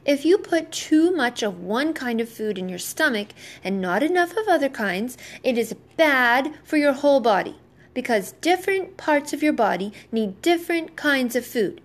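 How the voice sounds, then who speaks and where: A woman's voice: sits high, expressive, normal speed, voice pushed up. A woman voicing a proper, patient mother in a children's story, explaining carefully to her son.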